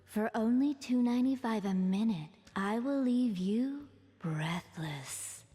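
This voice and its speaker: Breathy wind spirit drenched in reverb. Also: female voice, a little high, animated, slow, mellow, full character voice. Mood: breathy, teasing. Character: airy, seductive female wind spirit. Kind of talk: old video game voice lines. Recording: heavy reverb, faint music behind.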